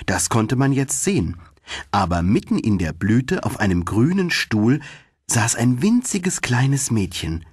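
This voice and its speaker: Male storyteller for children's fairy-tale audiobook: husky, expressive, lively intonation. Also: mid-range voice, dry recording, studio quality. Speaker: audiobook narrator.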